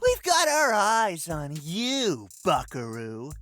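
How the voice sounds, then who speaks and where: A woman's voice: lowish voice, wildly swooping pitch, slow pace, a bit bright, no room sound, heavily acted. A woman playing a short, stern, suspicious police officer with a cocky bite in a video game. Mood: stern, threatening. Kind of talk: voice acting in a dating simulator game.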